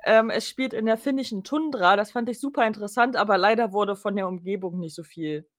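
A young woman, a guest on a casual fan podcast, talking in a calm, measured way. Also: mid-range voice.

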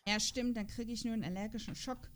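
High-voiced playful woman over background noise. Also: steady tone, fast. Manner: playful, giggly, stumbles while reading. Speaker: podcast host. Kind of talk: casual chat mixed with reading character profiles aloud.

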